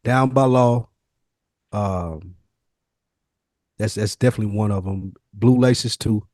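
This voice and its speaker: A man's voice: fairly low pitch, takes it slowly, darkish tone, no room sound. A man co-hosting a casual podcast, talking in a slangy, conversational way. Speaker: podcast co-host.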